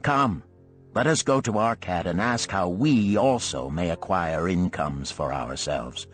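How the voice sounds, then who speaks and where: Man voicing a low, weary ancient chariot builder. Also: soft background music. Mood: hopeful, resolved. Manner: slow, brooding, archaic phrasing. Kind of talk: storybook parable dialogue.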